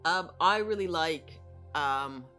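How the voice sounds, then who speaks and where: A woman's voice: low voice, takes it slowly, pushed and bright, soft background music. A woman chatting casually as a voice actor on a podcast.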